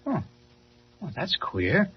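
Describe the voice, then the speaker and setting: An actor playing a courteous, eager newspaper reporter who is secretly a superhero, in a 1940s style radio drama. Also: male voice, fairly high pitch, wildly swooping pitch, takes it slowly, full character voice. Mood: attentive. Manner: polite, eager, attentive. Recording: muffled, hissy old radio recording.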